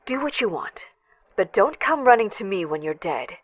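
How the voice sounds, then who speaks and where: A woman's voice: medium pitch, very animated, moderate pace, dry recording. An actress playing a blunt, stern, scornful warrior woman in a motion comic. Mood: cold warning.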